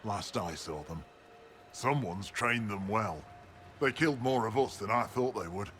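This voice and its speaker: An actor playing a proud, devout mountain barbarian healer in a video game, speaking in terse, solemn lines. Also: male voice, fairly low pitch, animated, a little slow, fairly bright tone, slight background noise. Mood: gruff, solemn.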